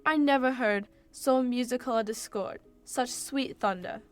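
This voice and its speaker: A woman's voice: on the high side, measured pace, faint music behind, natural voice. A young woman playing a proud warrior queen, reciting Shakespearean verse in a radio play. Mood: proud, admiring. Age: teens or young adult. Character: proud warrior queen reminiscing grandly of hunts.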